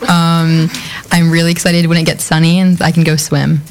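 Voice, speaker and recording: A woman's voice: low voice, flat delivery, normal speed, darkish tone. A young woman from America with an American accent, chatting casually as a student guest. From United States. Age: young adult. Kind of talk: casual chat.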